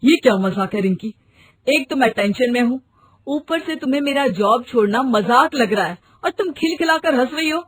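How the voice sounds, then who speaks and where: A woman's voice: sits high, animated, normal speed, slightly rough. A woman voicing a stressed young husband who complains in a tense way. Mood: irritated. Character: adult son, stressed husband who quit his job. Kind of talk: storybook voice acting.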